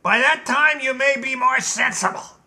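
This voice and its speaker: A man's voice: extremely high pitch, normal speed, pushed and bright, voice pushed up, full character voice. A man voicing a blunt, insolent male gnome general with dry, dismissive retorts.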